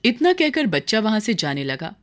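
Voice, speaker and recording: A woman's voice: mid-range voice, sing-song, moderate pace. A woman storyteller reading scripted dramatic narration for a moral story.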